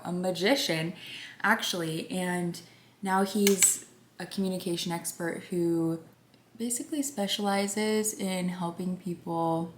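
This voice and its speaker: A young woman with a soft, gentle voice talks through her makeup in a relaxed, rambling way, sometimes dropping to a whisper. Her voice sits a little low for a woman. It was recorded in an echoey room at home with a faint hiss behind it. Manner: rambling, drops into whispers at times. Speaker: beauty vlogger. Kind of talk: soft chatty get-ready-with-me vlog.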